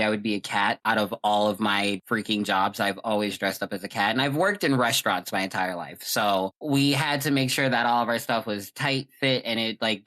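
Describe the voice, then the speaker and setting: Chatty, rambling podcast host, medium pitch. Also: a bit bright. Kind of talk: casual chat, personal storytelling.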